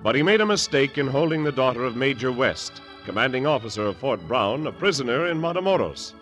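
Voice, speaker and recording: A man's voice: medium pitch, sing-song, a little fast, a bit bright. A man narrating an old-time radio adventure as announcer, in a dramatic scripted style. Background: organ music.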